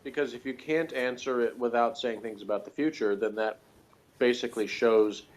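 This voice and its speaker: A man's voice: mid-range voice, fairly even intonation, normal speed, a little mellow. A man talking casually as an episode reviewer, rambling a little as he thinks aloud. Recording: dull, lo-fi sound.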